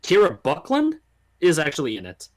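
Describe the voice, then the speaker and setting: A man speaking as a guest in a relaxed, informal podcast chat about games. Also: on the high side, normal speed.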